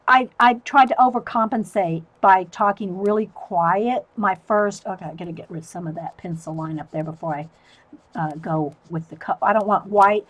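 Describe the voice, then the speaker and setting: A middle-aged woman, chatting casually with viewers while she draws, laughing often. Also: medium pitch. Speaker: artist livestreamer. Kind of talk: casual chat while drawing.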